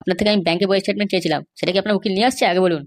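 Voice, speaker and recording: Woman playing a rapid-fire, stubborn cartoon cop. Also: medium pitch, fairly even intonation, lightly acted. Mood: stern. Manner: insistent, dismissive, lecturing. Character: stubborn, bribe-seeking police officer guarding a prisoner. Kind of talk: Bengali comedy cartoon dialogue.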